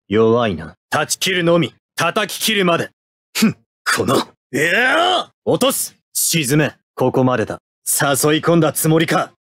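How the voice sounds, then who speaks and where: A man's voice: on the high side, fairly bright tone, no echo, clean studio sound, heavily acted. A man playing a cold, stoic young mecha pilot in an anime video game, speaking in terse, clipped military phrases. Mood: fierce, shouting effort. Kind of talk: anime game battle voice lines.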